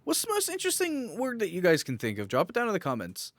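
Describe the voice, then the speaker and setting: A man chats as a podcast co-host in a loose, joking style. His pitch moves around a lot as he banters, and he can be crude. The sound is dry, with very little room around the voice. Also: huge pitch swings, fast talker, no echo. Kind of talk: casual banter.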